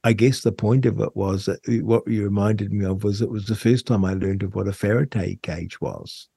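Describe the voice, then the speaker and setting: A man with a fairly low voice and a New Zealand accent hosts a radio talk show. He speaks conversationally and reflectively, halting as he pieces his thoughts together. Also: fairly even intonation, gravelly, mellow, breathy edge, no echo, clean studio sound.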